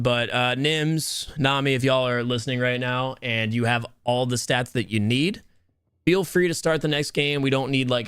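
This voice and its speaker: A young man hosting and casting a live mobile game tournament, chatty and talking to his chat in a soothing, relaxed voice. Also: medium pitch, smooth, dry recording, studio quality. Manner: chatty, talks to chat, restarts words. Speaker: tournament host and caster. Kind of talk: live stream esports commentary.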